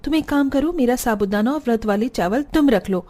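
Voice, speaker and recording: A woman voicing a patient, reasonable woman of a family in a cartoon story, measured and gently reproving. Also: fairly high pitch, expressive. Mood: patient, reasoning. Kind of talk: Hindi cartoon story dialogue.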